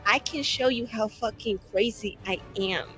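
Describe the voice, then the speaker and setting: A young woman with a fairly high voice talks in casual, joking banter. Her delivery is slangy and playfully theatrical, leaning into exaggeration. Faint music runs behind her. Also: normal speed, a bit bright, poor audio. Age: young adult. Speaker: cast member of a tabletop roleplaying show. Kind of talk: casual joking group chat.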